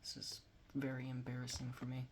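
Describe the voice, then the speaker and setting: A man's voice: medium pitch, completely flat delivery, a little fast, heavy background noise. A Texan man in his forties talking casually to a close camera in a small home room, rambling and self-deprecating. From Texas. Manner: rambling, self-deprecating, drops to near-whisper at times. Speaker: vlogger. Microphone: phone or webcam close to face.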